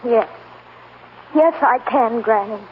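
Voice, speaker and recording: An earnest young farm girl with a high, emotional voice and a halting, hopeful way of talking. It is 1940s radio drama on a narrow, tinny recording. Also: a little hiss or hum. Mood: earnest, moved.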